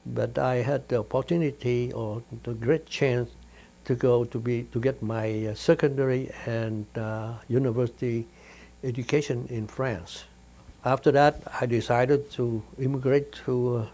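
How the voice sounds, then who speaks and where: An older man from Vietnam tells his life story in an interview. His tone is warm and mellow. He strings thoughts together with many fillers and "and then". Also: medium pitch. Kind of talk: interview reminiscence.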